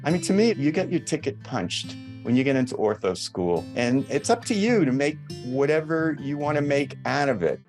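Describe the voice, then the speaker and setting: A man's voice: mid-range voice, expressive, moderate pace, hardly any pauses, music in the background. A man in his seventies with a New York accent, chatting casually and rambling a little as a podcast guest. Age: 70s. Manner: chatty, rambling, self-deprecating humor. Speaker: guest orthodontist.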